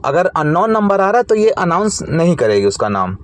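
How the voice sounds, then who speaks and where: A man's voice: fairly high pitch, big pitch swings, fairly quick, no room sound. An Indian man hosting an informal tech tutorial, explaining each step in a repetitive way.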